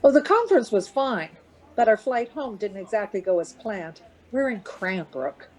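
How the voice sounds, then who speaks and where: A woman's voice: medium pitch, expressive, moderate pace, a little mellow, natural voice, low-quality recording. A woman playing a weary, anxious but reasonable middle-aged mother in conversation in a radio play. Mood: anxious, patient.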